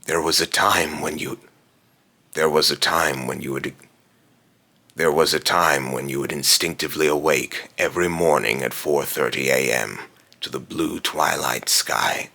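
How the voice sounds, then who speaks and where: Man voicing a very deep, raspy, brooding spirit. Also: steady tone, pushed and bright, heavily acted. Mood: wistful, brooding. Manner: slow, deliberate, solemn. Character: Phobos, brooding otherworldly male spirit of fear and death. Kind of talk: voice line recording with retakes.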